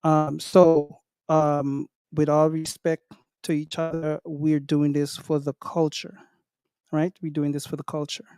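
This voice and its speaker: Slow, mellow-voiced man hosting a call. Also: on the high side, monotone, clear, resonant tone, dry recording. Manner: slow, hesitant, many ums. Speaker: host of an online community meeting. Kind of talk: casual online chat.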